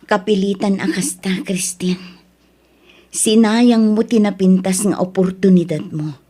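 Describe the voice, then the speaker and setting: A woman acting a husky, earnest older sister. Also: mid-range voice, fairly even intonation, measured pace, warm tone, lightly acted. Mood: earnest, admonishing. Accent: Ilocano. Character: concerned older sister counselling her younger sibling. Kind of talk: Ilocano audio drama acting.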